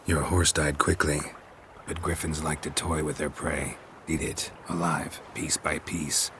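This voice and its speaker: An actor playing a gruff, grim middle-aged monster hunter in a video game cutscene, speaking tersely and flatly. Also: male voice, a bass voice, unhurried, slight background noise, full character voice. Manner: terse, flat, matter-of-fact.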